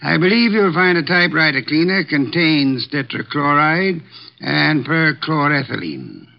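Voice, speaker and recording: An actor playing an imperious older male genius detective, speaking in a pompous, deliberate manner with clipped commands, in 1950s radio drama style. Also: on the high side, big pitch swings, gravelly, heavily acted. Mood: coolly deductive. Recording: dull lo-fi 1950 radio transcription.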